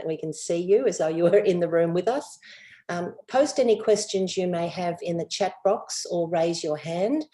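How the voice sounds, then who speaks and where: A woman opens an online round table as host, reading her lines with a few hesitations. Her voice sits low and sounds soft and mellow. It comes through a video call. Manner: reads from a script, occasional fillers. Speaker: event host introducing moderator.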